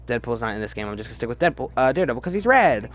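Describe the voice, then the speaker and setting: A man giving casual, opinionated commentary as a video host, emphatic and halting, often restarting his sentences. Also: medium pitch, very animated, faint music behind. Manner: chatty, halting, restarts sentences mid-word. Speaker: movie review video host. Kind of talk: casual ranked-list movie commentary.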